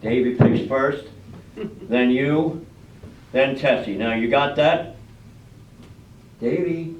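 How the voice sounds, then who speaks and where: A man's voice: mid-range voice, slow pace, lightly acted. Man playing a small-town official, distant and echoey. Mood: orderly, businesslike. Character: brisk, orderly small-town official running a public drawing. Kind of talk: amateur stage readers theater acting. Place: library room, staged performance. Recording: echoey, muffled, distant room with faint noise.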